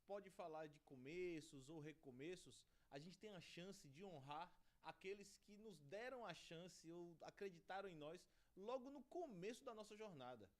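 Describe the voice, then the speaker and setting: A man's voice: high voice, animated, normal speed, hardly any pauses. A Brazilian man hosting a studio podcast interview, talking with reverence about honor and friendship. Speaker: podcast host.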